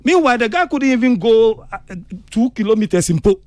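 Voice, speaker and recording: A man's voice: very high voice, sing-song, a little fast, a little mellow, no room sound. A man on a radio entertainment panel, mostly agreeing and adding short points.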